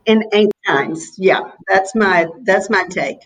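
A woman in her seventies from North Carolina with a Southern US accent, chatting as an interview guest in a reflective, halting, anecdotal way. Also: medium pitch, fairly bright tone, a little hiss or hum, low-quality recording. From North Carolina, USA. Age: 70s. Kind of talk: casual interview chat.

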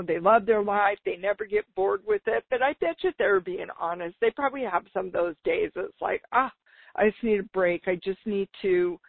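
A woman with a slightly raspy voice, talking informally and enthusiastically as the solo host of a business podcast. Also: medium pitch, dry recording. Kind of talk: upbeat solo podcast talk. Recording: dull lo-fi radio-like sound.

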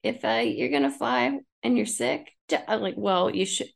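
A woman's voice: mid-range voice, lively intonation, moderate pace, gravelly. A woman co-hosting a podcast, telling stories casually with a lot of swearing and joking. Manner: profane, joking. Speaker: podcast co-host. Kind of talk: casual chat and comic storytelling.

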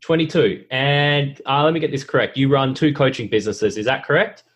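A hoarse man with a cold puts friendly, chatty questions to his guest. His voice is husky and sits at a middle pitch. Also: a little fast. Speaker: podcast host. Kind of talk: interview questions.

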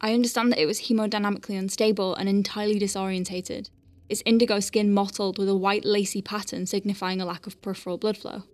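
A woman's voice: mid-range voice, little pitch movement, quick pace, no room sound, close to an everyday voice. A woman playing a dry-witted, capable doctor on a space station, dictating clinical notes with wry conversational asides in an audio drama. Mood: clinical, concerned. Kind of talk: science-fiction audio drama, spoken log.

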